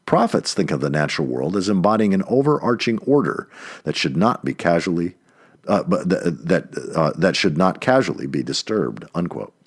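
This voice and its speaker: A man with a low, husky voice reads an essay aloud. His intonation swings widely as he leans on key words. The delivery is emphatic narration of written text. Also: very animated, moderate pace. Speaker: reader of an essay.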